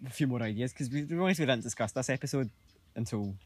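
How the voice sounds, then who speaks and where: A man's voice: medium pitch, darkish tone, breathy edge. A man co-hosting a podcast outdoors, talking in a playful, camp way. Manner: quick, playful, self-described camp delivery. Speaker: podcast co-host. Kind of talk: casual chat. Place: outdoors near a railway line.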